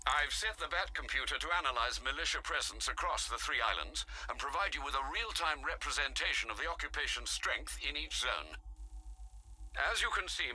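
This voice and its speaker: An actor playing a loyal, elderly male butler in a video game, giving a formal, measured briefing. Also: mid-range voice, sharp tone, slightly rough, full character voice, poor audio. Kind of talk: video game cutscene acting.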